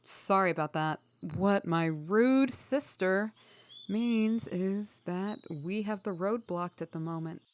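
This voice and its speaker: Give me a woman playing an earnest young princess leading a rebellion in a fantasy audio drama. She is diplomatic and polite to strangers, yet easily exasperated by family, with a medium-pitched voice. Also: slow pace, lightly acted. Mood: apologetic. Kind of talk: fantasy audio roleplay acting.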